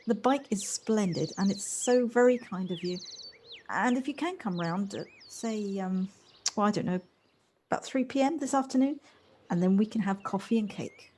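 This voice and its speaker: A woman's voice: medium pitch, expressive, normal speed, darkish tone, slight background noise, close to an everyday voice. An actress playing a polite, flustered, self-doubting city woman in an audio play, hesitant and apologetic as she talks. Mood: bashful, polite.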